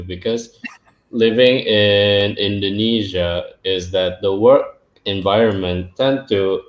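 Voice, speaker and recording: Singapore-raised guy hosting a chat, thoughtful then punchy, dull echoey room sound. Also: on the low side. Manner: thoughtful, reflective, grows emphatic when joking. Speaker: podcast host. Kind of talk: casual interview chat.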